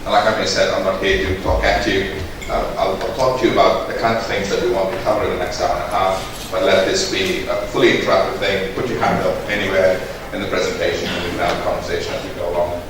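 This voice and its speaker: A man's voice: low-pitched, little pitch movement, fast, few pauses. A man, a family doctor and guest speaker, giving a conversational talk to a room of listeners while getting over a cold. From northern England. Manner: conversational, interactive, asks for a show of hands. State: recovering from a cold. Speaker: GP and medical director, guest speaker. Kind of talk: conference presentation to an audience. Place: large dim hotel function room. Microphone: handheld mic, filmed from a distant camera. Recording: echoey room from far off, noisy.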